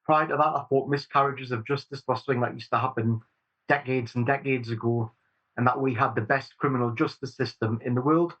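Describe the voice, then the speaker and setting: A man's voice: medium pitch, fairly even intonation, brisk, fairly bright tone, rough recording. Earnest Geordie man in his forties. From Newcastle upon Tyne, England. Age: 40s. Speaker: campaign group chair, guest. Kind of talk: earnest interview answers.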